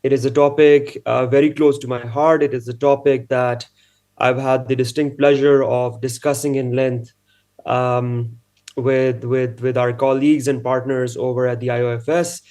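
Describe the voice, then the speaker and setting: A man's voice: mid-range voice, flat delivery, normal speed, a little mellow. A man from Pakistan giving a conference talk over a video call, earnest and prone to repeating words as he builds his points. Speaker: panel speaker.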